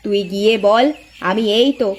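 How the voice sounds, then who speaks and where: A woman plays the patient elder wife in a village folk-tale cartoon. She speaks mildly, protesting in a gentle way. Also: medium pitch, steady tone, moderate pace, lightly acted. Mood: hurt, defensive.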